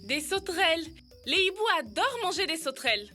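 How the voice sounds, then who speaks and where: A woman's voice: extremely high pitch, sing-song, brisk, bright, forceful tone, faint music behind, exaggerated voice. A woman playing an eager, curious young child in an educational children's cartoon dub. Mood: excited.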